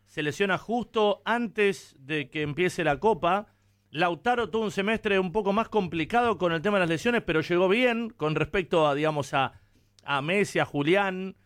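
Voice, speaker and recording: Argentine guy hosting football radio, high clean voice, super dry studio sound. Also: clean tone, no echo. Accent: Argentine, Rioplatense. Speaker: radio sports show host. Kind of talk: conversational live football commentary.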